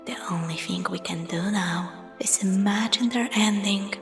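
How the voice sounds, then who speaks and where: A woman narrating a spooky story as a storyteller, in a breathy half-whisper with measured theatrical delivery. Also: medium pitch, fairly bright tone, in a large hall.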